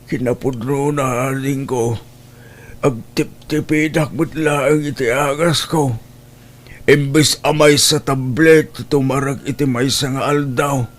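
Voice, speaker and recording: An actor playing an ailing, long-suffering old father in an Ilocano audio drama, plaintive and gently reproachful, with an Ilocano accent. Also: mid-range voice, steady tone, slow pace, natural voice. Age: older.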